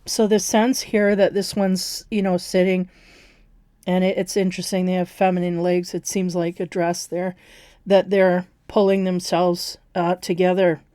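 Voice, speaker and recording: A woman with a raspy, hoarse voice giving a casual tarot card reading, rambling and restarting her sentences with many fillers. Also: lowish voice, little pitch movement, a little slow, mellow, no echo, clean studio sound.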